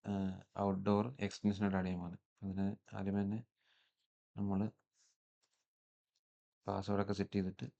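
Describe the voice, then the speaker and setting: A man's voice: low-pitched, monotone, brisk, gravelly, pauses often, mellow, highs cut off. A man with a Malayali accent giving an unscripted, matter-of-fact technical walkthrough, one step at a time. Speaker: tutorial presenter, installer.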